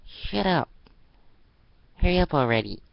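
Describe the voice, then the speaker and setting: A man acts out a small, bossy girl with a raised, loud voice, snapping and demanding. She is petulant and defiant in this storybook-style fan fiction reading, on a slightly dull recording. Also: fairly high pitch, very animated, a little slow, gravelly, no room sound, voice pushed up, full character voice.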